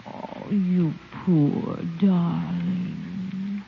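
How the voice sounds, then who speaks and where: A tender, doting sweetheart coos softly and seductively. It is 1950s radio comedy acting on a muffled, slightly noisy recording. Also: female voice, on the low side, extremely slow, vocal fry, heavily acted. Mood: tender, cooing. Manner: soft, cooing, affectionate.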